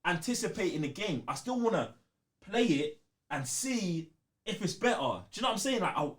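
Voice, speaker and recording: Animated London man, slangy and emphatic. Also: high voice, a little fast. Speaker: gaming podcast co-host. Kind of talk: casual chat about video games.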